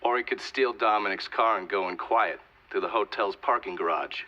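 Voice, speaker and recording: Low-voiced man recounting, muffled sound. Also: moderate pace, bright, forceful tone. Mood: reflective. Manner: plain-spoken, conversational. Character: man recounting past events, wry storyteller. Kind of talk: video game documentary-style narration. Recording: dull, lo-fi sound with music.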